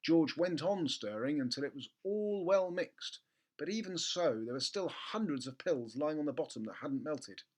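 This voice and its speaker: A man around forty narrates a children's storybook in an expressive style. His voice sits a little high, recorded close on a mic at home. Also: moderate pace. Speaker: storybook reader. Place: living room at home. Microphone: close studio mic with pop filter.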